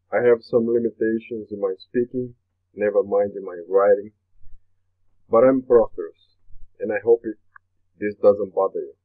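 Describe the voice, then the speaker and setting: A man from Brazil with a Brazilian Portuguese accent, a native Portuguese speaker, hosting a motivational webinar in an earnest manner and often repeating his phrases. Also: on the low side. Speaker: webinar host. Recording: tinny phone-like webinar audio.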